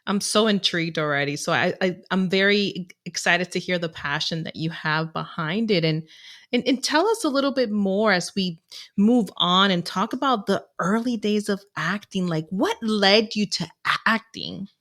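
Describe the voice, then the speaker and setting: A woman's voice: mid-range voice, lively intonation, moderate pace, a bit bright. A woman co-hosting a podcast interview, talking in a gushing, enthusiastic way. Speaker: co-host. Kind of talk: casual podcast chat.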